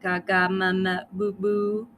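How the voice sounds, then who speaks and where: A woman's voice: mid-range voice, flat delivery, slow pace, a bit bright, a little hiss or hum. A woman voicing doting storybook parents who gush and fuss in a sing-song, adoring way. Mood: delighted.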